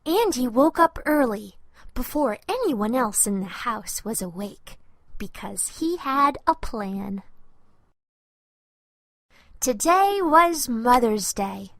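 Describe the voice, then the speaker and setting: A woman narrates a children's picture book in a fairly high voice. Her pace is very slow and her phrasing careful and expressive, made for young listeners. The recording is dry and close. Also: no echo. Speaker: children's story reader. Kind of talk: scripted storybook narration.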